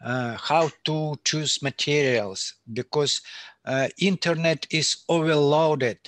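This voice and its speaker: A man speaking halting non-native English as a native Turkish speaker, interviewing a guest in a casual conversation. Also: on the high side, fairly bright tone, dry recording.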